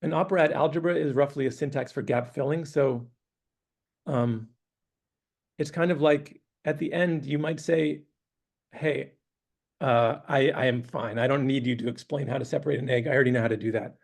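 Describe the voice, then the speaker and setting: Lecturer, male, gravelly and a bit hoarse, loose hesitant delivery, classroom talk. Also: medium pitch, moderate pace. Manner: relaxed, hesitant, thinking aloud. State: losing his voice, clearing throat. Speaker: guest lecturer. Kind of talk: academic lecture with questions. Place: university classroom.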